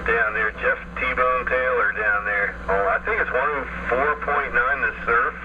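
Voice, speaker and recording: A man's voice: medium pitch, unhurried. A man operating a CB base station, chatting over the radio in a folksy trucker way. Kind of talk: CB radio chat. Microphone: CB radio mic. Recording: dull lo-fi radio audio with loud noise.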